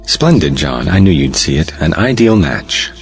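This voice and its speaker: An actor playing an earnest, proud, devoted husband in an audio play, speaking solemnly. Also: male voice, extremely low pitch, very animated, normal speed, gravelly, faint music behind, full character voice, poor audio. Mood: pleased. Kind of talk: stage play audiobook acting.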